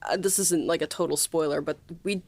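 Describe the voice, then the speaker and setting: A woman co-hosting a podcast in casual conversation, animated and acting out bits of dialogue as she talks. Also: sits low, a little fast, noisy background. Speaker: podcast co-host and writer.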